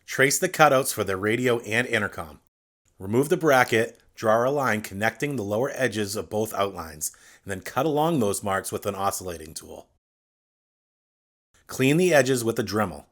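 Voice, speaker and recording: Low-pitched man narrating a how-to. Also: animated, moderate pace, fairly bright tone. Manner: clear, instructional, direct address to viewer. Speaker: instructional video narrator. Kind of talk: scripted step-by-step tutorial narration.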